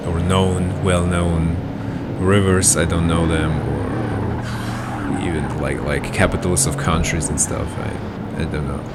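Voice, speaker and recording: Gaming commentator, male, deep and unhurried, hesitant and rambling, loud engine noise behind. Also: flat delivery, over loud music. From Kazakhstan. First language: Russian. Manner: rambling, hesitant, self-correcting. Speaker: gameplay commentator. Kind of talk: casual gameplay commentary.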